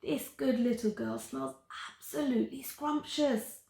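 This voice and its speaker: A woman's voice: sits high, steady tone, a little slow, vocal fry, dark tone, roomy sound, voice pushed up, exaggerated voice. Storybook monster, played by a woman: huge, ravenous, gloating, purring. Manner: purring, whispering greedily.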